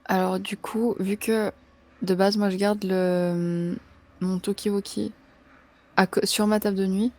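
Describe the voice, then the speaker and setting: A woman's voice: mid-range voice, little pitch movement, a little slow, a little mellow. A woman taking part in a tabletop roleplaying game, improvising casually and thinking aloud with hesitation.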